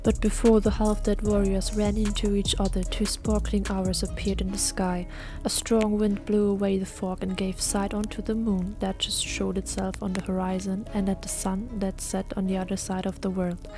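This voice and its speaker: A woman reading scripted fantasy audio play narration in a solemn, measured storytelling manner. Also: medium pitch, level tone, dark tone. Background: music.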